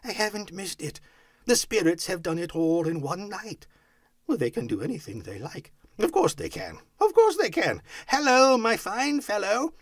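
A man's voice: a little high, huge pitch swings, normal speed, hardly any pauses, slightly rough, no echo, clean studio sound, pitched above the performer's own voice, exaggerated voice. A man voicing an overjoyed, reformed old miser from a storybook, exclamatory and effusive, whooping with delight. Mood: excited.

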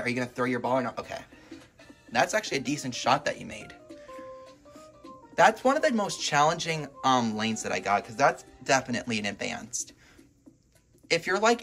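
A man doing casual, excitable gameplay commentary as a video host, reacting aloud as he plays. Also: medium pitch. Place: indoors at home, filming a TV.

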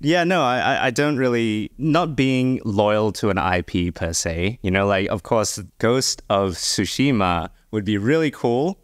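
A man's voice: lowish voice, unhurried, hardly any pauses, dry recording, clean studio sound. A man co-hosting a podcast in casual panel chat, hesitant and given to joking asides. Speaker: podcast co-host.

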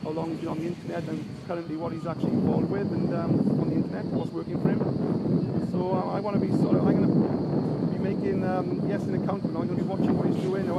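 Fast-talking Welsh Valleys man outdoors. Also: high-pitched. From Wales. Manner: rambling, greets viewers by name. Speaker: internet marketing coach. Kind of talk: casual live stream chat. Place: outdoors by a bay. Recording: loud outdoor wind and traffic.

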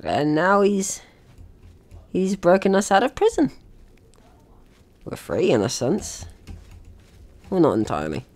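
A man's voice: high voice, wildly swooping pitch, measured pace, long pauses, a little mellow. A young man giving casual let's play commentary as he plays a game. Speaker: gaming video host.

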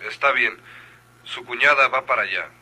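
An actor playing a stern, suspicious prosecutor in a Mexican radio soap, curt and authoritative, with a Mexican accent. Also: male voice, mid-range voice, moderate pace, heavy background noise, close to an everyday voice. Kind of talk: Mexican golden-age radio soap acting.

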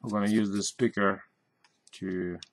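Slow, low-voiced man over noisy recording. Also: level tone. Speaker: reviewer and channel host. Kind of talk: casual hands-on gadget demonstration. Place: at a cluttered desk indoors. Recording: clearly noisy, clicks and typing sounds.

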